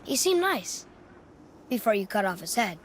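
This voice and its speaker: A man's voice: high-pitched, sing-song, moderate pace, a little hiss or hum. A young male actor playing an eager, curious young boy in a video game, chatty and earnest. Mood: chatty, thoughtful. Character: eager, curious young boy travelling with his stern father. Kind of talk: video game cutscene acting. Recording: loud music behind the voice.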